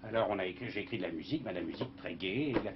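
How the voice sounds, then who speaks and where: A man, a film composer, in a casual interview, talking in a lively, rambling way and imitating sounds with his mouth, on a dull old broadcast recording with faint hiss. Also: sits low, racing.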